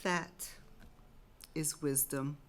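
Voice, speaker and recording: A woman's voice: low voice, steady tone, extremely slow, slight background noise. A woman playing a grave, watchful chorus member, speaking solemnly in a dramatic reading of a Greek tragedy.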